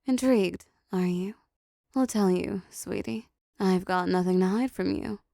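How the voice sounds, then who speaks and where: A seductive, possessive female demon played by a woman speaks slowly and closely in a dark, mellow voice with a creaky edge. She is teasing and controlling, doling out condescending pet names as in an intimate audio roleplay. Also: medium pitch, no echo, clean studio sound. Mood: smug, teasing. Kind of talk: intimate roleplay audio drama, addressing the listener.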